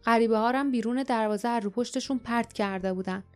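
A woman voicing an angry male farmer who speaks loudly and harshly, in an audiobook. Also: medium pitch, fairly even intonation, faint music behind, lightly acted. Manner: angry, loud.

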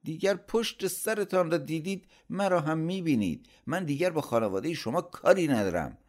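Blustering, aggrieved uncle for a story reading, acted out by a man, middle-aged, angry and accusing, with very lively intonation. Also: fairly high pitch, voice pushed up, close to an everyday voice. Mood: furious, indignant. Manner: aggressive, indignant, accusing. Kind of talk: dramatised audiobook storytelling.

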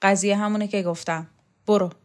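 A woman's voice: mid-range voice, steady tone, natural voice. A woman voicing a blunt, coarse middle-aged strongman who scolds and swears. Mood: scornful. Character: tough, blunt middle-aged male strongman, scornful and gruff.

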